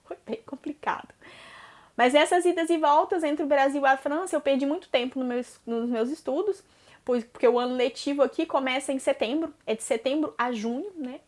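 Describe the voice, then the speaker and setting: A Brazilian woman in her thirties speaks with a Brazilian Portuguese accent in a slightly high voice. She talks through a personal vlog in a relaxed, conversational way, tagging her sentences with "né?" and sometimes stumbling and restarting before she carries on. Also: fairly quick. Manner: conversational, frequent "né?" tags and hesitant restarts. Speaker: vlogger. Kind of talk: personal vlog monologue answering viewer questions.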